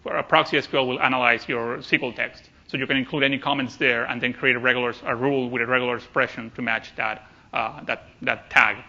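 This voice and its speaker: A man's voice: medium pitch, fairly even intonation, fast, pushed and bright, highs cut off. A man presenting technical material at a conference, speaking hesitantly and often repeating words as he goes.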